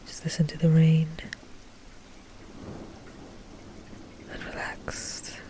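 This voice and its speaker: A woman playing an anxious, tender vampire noblewoman in an ASMR roleplay, speaking softly and hesitantly with sighs. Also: low voice, completely flat delivery, slow. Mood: cozy, affectionate. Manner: soft, hesitant, sighing.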